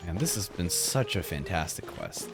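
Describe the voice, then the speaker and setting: Animated male game streamer, excitable. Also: low voice, moderate pace, over music. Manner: excitable, effusive, repeats phrases. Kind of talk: live gameplay reaction commentary.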